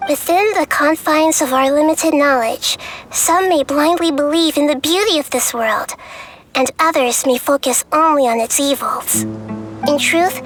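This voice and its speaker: Game role: wise child deity, high and soft-mannered, measured delivery, explains things by analogy. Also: female voice. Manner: measured, philosophical, speaks in analogies. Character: small, wise, gentle young girl god of wisdom. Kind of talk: scripted game dialogue.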